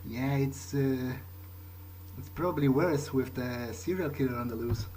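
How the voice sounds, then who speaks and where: A man role-playing his character in a video game playthrough, thinking aloud in a hesitant, halting, musing way. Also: medium pitch, dark tone, a little hiss or hum. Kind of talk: improvised in-character monologue over a video game.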